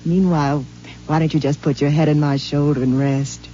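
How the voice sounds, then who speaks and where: An actress playing a sweet, doting young wife with a soft, cooing, soothing manner, in a 1950s radio drama. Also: female voice, low voice, steady tone, moderate pace, soft background music, close to an everyday voice. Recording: dull lo-fi 1950s radio broadcast with faint hiss.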